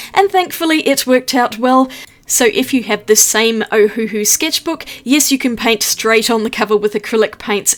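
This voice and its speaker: A woman from New Zealand, an art vlogger narrating a voice-over with a chatty, upbeat manner, explaining each step. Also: fairly high pitch.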